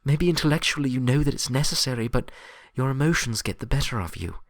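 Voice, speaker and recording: A man's voice: medium pitch, animated, fast, dry recording, clean studio sound, voice pushed up, close to an everyday voice. A man voicing a stern, pragmatic female unicorn librarian in a story, reasoning firmly and grimly. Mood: grave, persuasive. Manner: sharp-edged, reasoning firmly.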